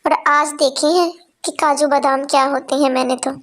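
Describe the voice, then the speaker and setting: A woman's voice: high voice, steady tone, moderate pace, a bit bright. A woman playing a meek, humble poor young wife. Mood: innocent.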